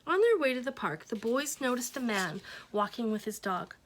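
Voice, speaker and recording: A woman reading a children's picture book aloud in a gentle, expressive way, stopping now and then to talk to her listeners. Her voice sits slightly high for a woman. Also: normal speed. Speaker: reader.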